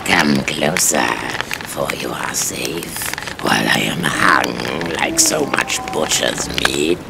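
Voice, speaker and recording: Sinister ancient spirit, extremely low and very slow, coaxing in archaic phrases, game cutscene. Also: creaky, very noisy, heavily acted. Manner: archaic, slow, luring speech. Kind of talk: video game cutscene acting. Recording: loud music over the voice.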